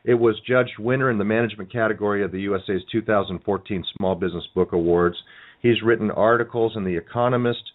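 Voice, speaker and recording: A man's voice: fairly low pitch, fast. A man hosting a radio interview show, asking rambling questions that he often restarts. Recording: tinny phone-like sound.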